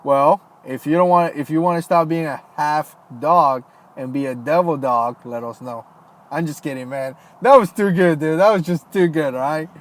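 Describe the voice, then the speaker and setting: Chatty former Marine livestream host. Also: male voice, on the high side, moderate pace. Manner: loose, friendly, lots of fillers and "man", "dude". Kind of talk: casual livestream chat reading comments. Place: home, Florida.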